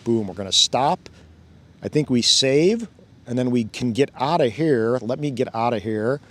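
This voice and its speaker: A man's voice: medium pitch, animated, normal speed, fairly bright tone. A man hosting a casual hands-on demo outdoors by a fountain, thinking aloud and laughing often as he goes. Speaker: drone channel host. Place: outdoors by a fountain, cold weather.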